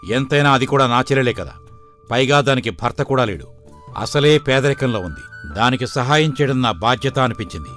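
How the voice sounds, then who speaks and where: A man's voice: mid-range voice, expressive, fairly quick, fairly bright tone, soft background music, natural voice. A man playing a greedy, domineering middle-aged father in a moral story cartoon. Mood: smooth, evasive.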